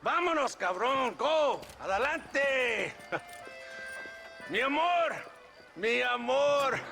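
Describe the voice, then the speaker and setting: An actor playing a boisterous, lecherous, cruel Mexican army officer in a video game, oily and mock-friendly, with a Mexican accent. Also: male voice, sits high, expressive, moderate pace, bright, forceful tone, faint music behind, heavily acted. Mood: exuberant. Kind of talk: video game cutscene acting.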